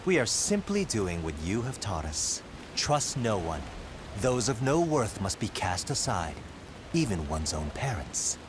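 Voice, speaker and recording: A man's voice: lowish voice, very animated, measured pace. An actor playing a cold, resentful young nobleman and treacherous nephew in a video game, formal and accusing. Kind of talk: dramatic video game villain acting. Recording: loud rain-like background noise.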